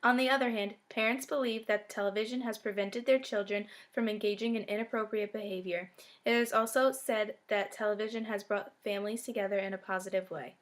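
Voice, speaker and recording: A young woman co-anchoring a scripted student newscast in a brisk, rehearsed read. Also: mid-range voice, fairly even intonation. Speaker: news co-anchor. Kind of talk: scripted 1950s-style newscast, student project.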